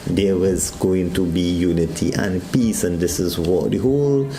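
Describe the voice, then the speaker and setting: A Trinidadian man gives a devotional talk on a morning broadcast, preaching to his listeners. His voice sits low and mellow, with sudden high swoops in pitch, and the sound rings in an echoey room. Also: normal speed, slight background noise. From Trinidad and Tobago.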